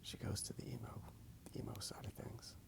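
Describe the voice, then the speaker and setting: A man speaking in a soft, hushed ASMR style, musing slowly and repeating his phrases as he narrates his drawing. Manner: hushed, unhurried musing, repeats phrases, asks listener questions. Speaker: host of an ASMR drawing video. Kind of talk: soft-spoken ASMR rambling while drawing. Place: outdoors in a park.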